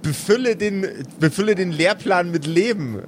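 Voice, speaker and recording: A man's voice: high voice, normal speed, slight background noise. A man narrating an improvised tabletop role-playing game as game master, with playful, theatrical phrasing.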